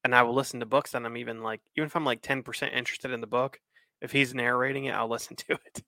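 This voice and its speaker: Podcast co-host, male, relaxed and agreeable, slight fry, dry close mic. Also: mid-range voice, quick pace, no echo. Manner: easygoing, agreeable, laughs often. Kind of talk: casual chat over beers.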